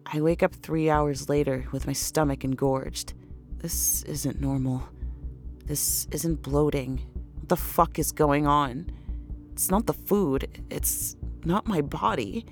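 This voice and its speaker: A woman's voice: deep voice, takes it slowly, soft background music. A woman playing an anxious, exhausted pregnant woman who narrates her own horror story in an intimate, weary manner. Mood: dread. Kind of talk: first-person horror audio drama narration.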